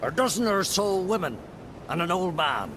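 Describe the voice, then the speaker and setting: A man's voice: sits high, sing-song, normal speed. A man playing a stern, indignant druid elder in a video game, speaking in a formal, theatrical way. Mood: grave. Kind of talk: video game cutscene acting. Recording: music under the voice.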